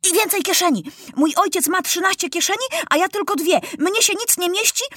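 A woman voicing a crowd of unruly children calling out excited demands one after another. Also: very high voice, fairly quick, no echo, studio quality, pitched above the performer's own voice.

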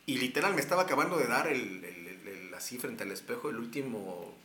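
A man's voice: mid-range voice, lively intonation, fairly quick, pushed and bright, echoey room, slight background noise. A man with a Mexican accent, telling a long story casually with slang, fillers and restarts. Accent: Mexican Spanish. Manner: rambling, many fillers and restarts.

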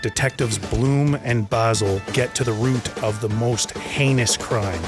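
A man's voice: mid-range voice, unhurried, over music, natural voice. A man playing a solemn narrator, telling a dramatic scripted radio mystery. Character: solemn storyteller of a crime tale.

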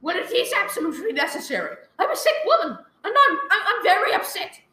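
A woman's voice: extremely high pitch, big pitch swings, fast talker, sharp tone, exaggerated voice. A woman acting a panicked invalid wife alone in her house in a radio play, stammering and pleading frantically. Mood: upset, insistent. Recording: roomy, echoey, faintly noisy live recording.

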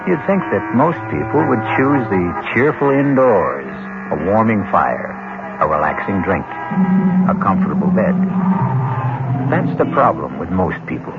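A low-pitched man hosts a suspense radio drama with wide, dramatic swings of pitch. He speaks theatrically and deliberately over prominent music on a muffled seventies radio recording. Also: very animated, creaky. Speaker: host and narrator.

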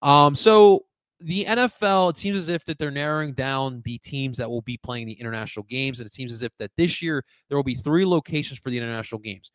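A man's voice: medium pitch, a little fast, no room sound, rough recording. A man with a light Latin American Spanish accent hosting a casual live sports talk show, rambling, repeating words and reading out viewer comments. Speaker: sports podcast host reading live chat.

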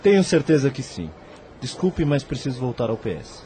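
A man with a Brazilian accent playing an attentive, businesslike hospital doctor in a radio soap, with a husky voice. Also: mid-range voice, big pitch swings, moderate pace, noisy background, natural voice. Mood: polite, brisk. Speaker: radio drama actor.

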